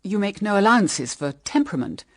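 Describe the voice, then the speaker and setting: A woman's voice: mid-range voice, very animated, brisk. An actress with a British accent playing an evasive, anxious older mother-in-law in a period radio drama, hesitant and guarded. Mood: probing.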